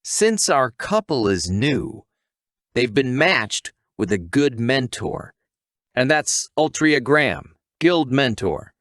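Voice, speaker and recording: A man's voice: mid-range voice, big pitch swings, slow, a bit bright, dry recording, clean studio sound. A man reading a scripted story recap as a narrator.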